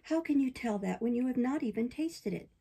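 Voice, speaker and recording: A woman voicing a gentle motherly fairy from a storybook, loving and patient. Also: a little high, lively intonation, fairly quick, vocal fry, breathy edge, voice pushed up, full character voice.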